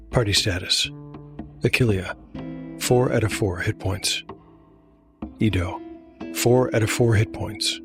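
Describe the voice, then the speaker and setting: A man hosting and narrating a solo tabletop game as a dark fantasy audio drama, measured and grave, with dice-roll commentary. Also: on the low side. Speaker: host and solo game master. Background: music.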